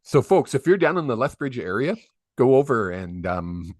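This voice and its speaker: A man's voice: mid-range voice, sing-song, moderate pace, darkish tone, dry recording, clean studio sound. A man hosting a live psychic reading stream, chatty and rambling with lots of fillers, on a desk microphone. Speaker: psychic medium host. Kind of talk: casual live-stream chat. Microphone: desk microphone on a video call.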